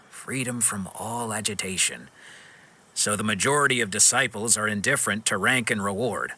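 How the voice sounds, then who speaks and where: A man playing a proud, bitter wandering swordsman in a video game, speaking in a guarded, brooding, measured way. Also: mid-range voice, sharp tone.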